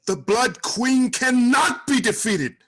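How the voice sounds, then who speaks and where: A man's voice: extremely high pitch, animated, takes it slowly, pushed and bright, heavily acted. A man playing a gloating villain necromancer in a comic fantasy table read, mock-grand and melodramatic. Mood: defiant. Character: Dark Red, gloating theatrical villain necromancer.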